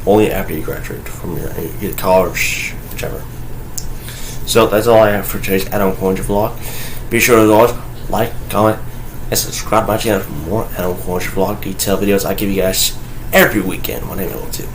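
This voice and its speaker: A man raised in North Carolina, recording a casual home vlog, rambling with many halting restarts and blunt, profane remarks. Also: deep voice, fairly even intonation, slight background noise, poor audio. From North Carolina, USA (raised there, born in Okinawa, Japan). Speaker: vlogger. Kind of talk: casual ranting monologue.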